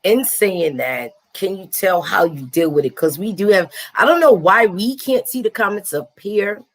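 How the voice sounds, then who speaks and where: A woman with a raspy, deep voice hosting a casual live stream, animated and slangy, acting out quotes. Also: normal speed. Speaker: host.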